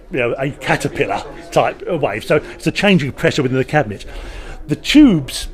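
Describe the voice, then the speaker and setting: A man speaking as a company representative, informally explaining a product at a trade show with emphatic salesman's patter and wry asides. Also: medium pitch.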